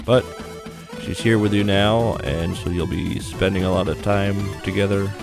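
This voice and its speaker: A man with a deep voice talks through a visual novel for a gaming video. His delivery is casual and offhand, loosely retelling the story. Video game music plays clearly behind him. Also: steady tone, measured pace. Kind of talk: casual narrated first look of a visual novel.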